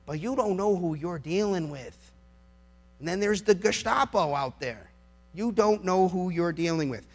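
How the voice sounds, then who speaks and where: A man's voice: sits high, gravelly, fairly bright tone. An American man giving an impassioned political speech to an audience, emphatic and dramatic, punctuated by rhetorical questions. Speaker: public speaker, lawyer.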